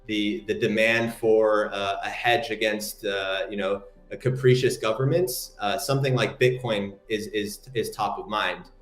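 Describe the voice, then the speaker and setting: A man from Morocco with a fairly low voice conducts an interview, weighing his words and often restarting phrases. His voice rings in an echoey room. Faint music plays behind him. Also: fairly even intonation. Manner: measured, thoughtful, many small restarts. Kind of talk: interview conversation.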